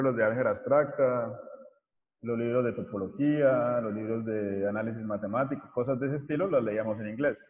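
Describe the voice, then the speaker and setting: A man, giving an informal online lecture as a mathematics teacher, haltingly searching for words. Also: medium pitch. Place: small indoor room, video call. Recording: muffled, echoey room over video call.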